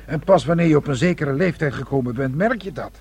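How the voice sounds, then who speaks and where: Gravelly, moralizing middle-aged father. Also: fairly high pitch, expressive, fast talker, a little mellow, slight background noise. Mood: philosophizing. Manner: sententious, platitudinous. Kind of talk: radio play acting.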